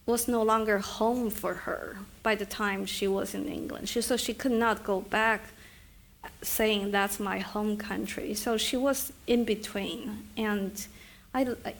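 A woman's voice: medium pitch, fairly even intonation, measured pace. Hesitant woman author. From China. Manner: soft-spoken, hesitant restarts, thoughtful. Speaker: author at a reading. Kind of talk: live book event Q&A and reading aloud.

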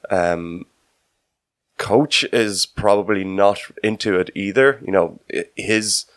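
A man giving casual sports analysis on a podcast, often halting as he thinks aloud. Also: low voice, a bit bright, no echo, clean studio sound. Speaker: podcast host.

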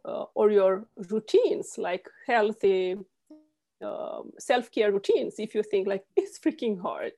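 A woman's voice: mid-range voice, lively intonation, slow pace, dark tone. A woman in her forties from Romania with a Romanian accent, speaking English as a podcast guest in a casual interview, giggly and emphatic with many filler sounds.

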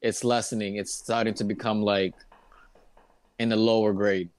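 A man's voice: fairly low pitch, little pitch movement, normal speed, a little mellow. A man from Kansas City, Kansas, chatting as a podcast guest in an easygoing, hesitant way. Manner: easygoing, hesitant, laughs often. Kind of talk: casual interview chat.

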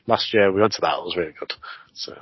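A man's voice: sits low, fast talker, husky, dry recording. A man in his forties interviewing a wrestler in casual chat, stumbling over repeated words and laughing often. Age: 40s. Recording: dry, dull lo-fi audio.

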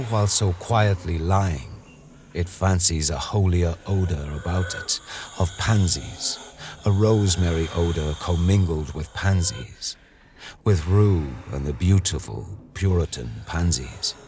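A man reads poetry as a dramatic recitation, in a measured, solemn manner with deliberate phrasing. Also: low-pitched, noisy background. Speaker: poetry reader. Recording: clear ambient sound bed behind voice. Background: ambient sound design.

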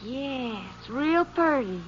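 A woman's voice: sits high, animated, drawn-out words, full character voice. An actress playing a timid, easily awed fifteen-year-old backwoods girl in a 1950s radio drama, with artless, hesitant country speech. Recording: dull old radio recording, faint noise.

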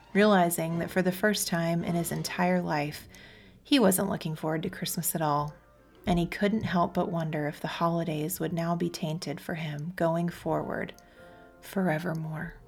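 A woman's voice: fairly low pitch, fairly even intonation, normal speed, a little mellow, faint music behind. A woman reading a scripted story as a narrator. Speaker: podcast co-host reading an audiobook chapter.

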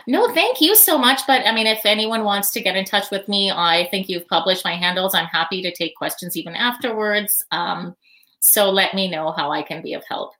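A woman answers interview questions in a relaxed, reflective way. She pauses with fillers and laughs easily, and her pitch sits in the middle range. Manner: thoughtful, warm, laughs easily, frequent fillers. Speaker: podcast guest.

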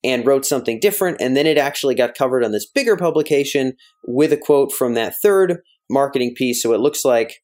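A man's voice: medium pitch, lively intonation, fairly quick, few pauses, darkish tone. Chatty American male podcast host. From United States. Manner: wordy, informal, thinks aloud while paraphrasing. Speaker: podcast host interviewing a guest. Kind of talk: conversational interview.

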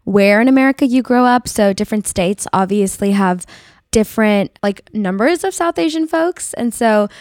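A woman's voice: medium pitch, animated, normal speed, a little mellow, dry recording, studio quality. A woman in her twenties from the Bay Area, a university student guest, talking casually with many "like"s and "um"s. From California Bay Area. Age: 20s. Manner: chatty, lots of "like" and "um". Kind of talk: casual conversation.

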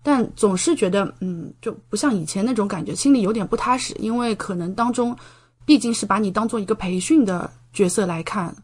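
A young woman speaks as a guest in a conversational interview. Her voice sits at a middle pitch, and she lays out explanations in an informal, step-by-step way. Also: brisk, a little mellow, husky. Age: young adult. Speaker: podcast guest, doctor.

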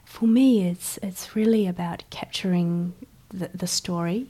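A woman guest in an interview, speaking hesitantly with many pauses and fillers in a soft, breathy way. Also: fairly low pitch. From China. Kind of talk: casual interview conversation.

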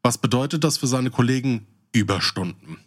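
A man's voice: medium pitch, expressive, brisk. A man co-hosting a podcast, switching between casual chat and reading out short descriptions. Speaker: podcast co-host.

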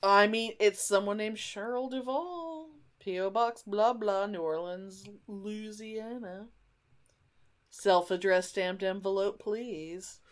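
A woman's voice: mid-range voice, takes it slowly. A middle-aged woman co-hosting a podcast in casual, effusive, sweary chat with a friend. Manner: effusive, sweary, gasps and exclaims. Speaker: podcast co-host.

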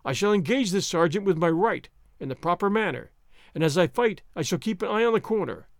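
A man voicing a cool, confident masked swordsman hero who gives calm, commanding orders with a mocking edge. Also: high-pitched, a little fast, no echo, clean studio sound. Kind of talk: audiobook character reading.

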